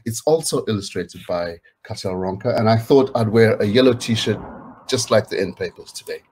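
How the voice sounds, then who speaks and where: A man's voice: on the low side, lively intonation, normal speed, darkish tone, slight background noise. A middle-aged man reading picture books aloud to children, chatting casually and encouraging them to join in. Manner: encouraging, inviting listeners to join in. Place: indoors, small home room.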